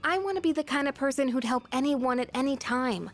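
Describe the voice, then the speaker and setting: A woman voicing a friendly, earnest, slightly shy teenage schoolgirl in a video game, soft-hearted and reflective. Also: high-pitched, a little fast. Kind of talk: English video game voice acting. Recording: background music under the voice.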